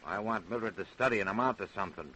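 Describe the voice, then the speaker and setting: A man's voice: lowish voice, fast talker, bright, forceful tone, slight background noise, low-quality recording. An actor playing a strict, bitter, middle-aged working-class American father in 1930s radio drama style. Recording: old radio drama recording.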